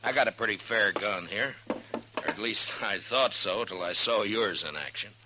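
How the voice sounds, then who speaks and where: A man's voice: fairly low pitch, moderate pace, sharp tone. A man playing a firm, wry, authoritative frontier lawman in a 1950s radio western. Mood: sly. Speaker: U.S. marshal in a western. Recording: noisy 1950s radio recording.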